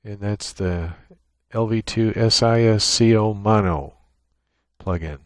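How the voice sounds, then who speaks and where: A man giving an unscripted software tutorial, explaining each step in a matter-of-fact, technical way. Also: on the low side, takes it slowly, dry recording, studio quality. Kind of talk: unscripted screen-share walkthrough. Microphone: USB microphone with gate and compressor.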